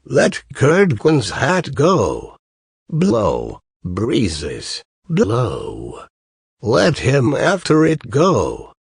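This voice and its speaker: A man as a sorrowful goose girl, slowly chanting. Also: mid-range voice, wildly swooping pitch, vocal fry, slightly rough, dry recording, studio quality, heavily acted. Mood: chanting, commanding. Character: sorrowful young goose girl, a wronged princess chanting rhymes.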